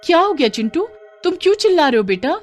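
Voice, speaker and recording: A woman's voice: sits high, very animated, fast, lo-fi sound, soft background music, voice pushed up. A woman voicing an anxious mother of a young boy in a children's horror cartoon. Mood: worried.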